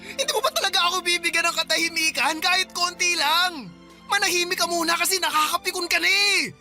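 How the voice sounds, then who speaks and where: A woman's voice: very high-pitched, fast talker, over music. A woman with a Filipino accent playing an exasperated, defensive young father arguing in a radio drama. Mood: angry, fed up. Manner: exasperated, argumentative, protesting. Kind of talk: heated radio drama dialogue.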